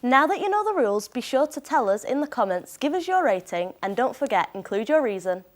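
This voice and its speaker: Young female co-host, high, very lively voice. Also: brisk. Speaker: studio co-presenter. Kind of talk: live studio presenting.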